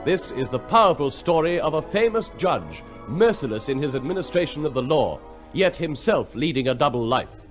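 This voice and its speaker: A man's voice: on the high side, expressive, normal speed. A man announcing a radio theatre show in a formal, declamatory, dramatic 1950s style. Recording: vintage radio broadcast with music.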